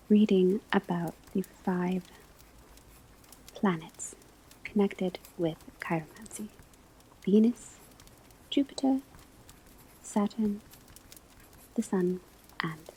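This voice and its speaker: A woman voicing a calm professor of divination at a witch school, in a soft, breathy whispered ASMR lecture with measured, instructive delivery. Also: medium pitch. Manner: slow, deliberate, measured, instructive. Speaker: ASMR roleplay performer. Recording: clear background ambience noise. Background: classroom ambience, crackling fireplace, pages turning.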